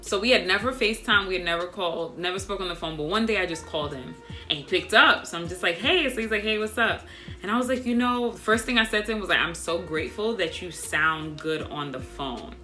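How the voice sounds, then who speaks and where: Young female vlogger, soft unprojected delivery, lowish pitch with a crisp bright edge, chatty storyteller. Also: fairly quick, soft background music. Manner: soft, doesn't project, monotone by her own account; chatty and animated, addresses viewers as y'all. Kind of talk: casual storytelling to camera.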